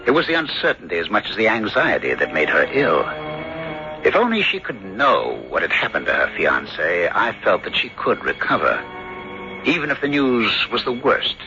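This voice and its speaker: A man playing a sober, sceptical country doctor who narrates a ghost mystery in measured, earnest radio drama style. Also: on the low side, wildly swooping pitch. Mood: grave, brooding. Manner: measured, earnest, theatrical storytelling. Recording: dull vintage radio broadcast, music underneath.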